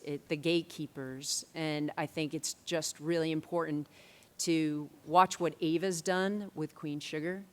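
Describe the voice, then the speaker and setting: A woman director answering questions on a convention panel, thoughtful and pausing often with fillers. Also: sits low, clean tone. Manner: thoughtful, many fillers and restarts.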